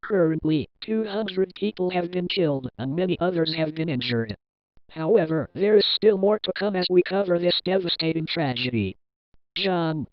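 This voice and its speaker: A man's voice: a little high, no room sound, close to an everyday voice. A man playing a grave television news anchor in a scripted mock newscast about a disaster. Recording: dull lo-fi audio, very dry.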